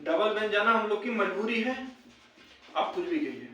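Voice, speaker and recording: Casting: young man, high voice, insistent explainer, recorded at a distance from the mic. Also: animated, normal speed, pushed and bright. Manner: repetitive, explanatory, urging. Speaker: video host addressing fellow job candidates. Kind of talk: informal update and appeal to viewers. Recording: very echoey room, distant microphone.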